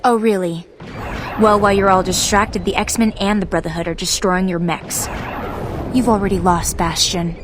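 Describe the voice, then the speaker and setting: A woman's voice: medium pitch, fairly even intonation, normal speed, background noise, natural voice. An actress playing a brave young female superhero in a video game, direct and challenging. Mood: taunting. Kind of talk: video game cutscene acting. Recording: music behind the voice.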